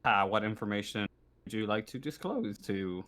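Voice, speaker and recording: A man running a tabletop game as game master, speaking informally and haltingly as he improvises. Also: fairly low pitch, a bit bright.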